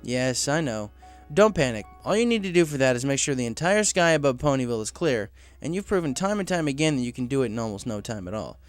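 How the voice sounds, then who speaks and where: An encouraging male pony boss from an audio storybook, acted out by a man. He sounds assured and casual, with a very lively intonation over soft music. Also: medium pitch, quick pace, hardly any pauses, no echo, close to an everyday voice. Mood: reassuring.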